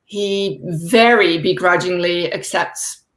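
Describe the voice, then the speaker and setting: A woman in her forties, raised speaking Dutch in the Netherlands, doing a stand-up set over a video call with dry deadpan delivery and laughing asides. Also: fairly low pitch, takes it slowly. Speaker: stand-up comedian.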